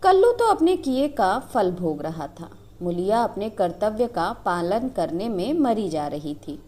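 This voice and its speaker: A woman storyteller narrating a scripted story in a dramatic, expressive manner. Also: medium pitch, unhurried.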